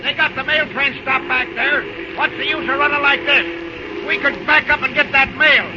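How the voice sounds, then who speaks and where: A blustery old steam engineer in a vintage radio serial, pitched very high and arguing colorfully. Heavy engine din surrounds him on a muffled recording. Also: male voice, fairly even intonation, normal speed, crowd noise, noisy background. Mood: protesting. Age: older. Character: feisty, protesting old steam locomotive engineer. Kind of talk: early radio drama acting. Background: loud rumbling locomotive effects.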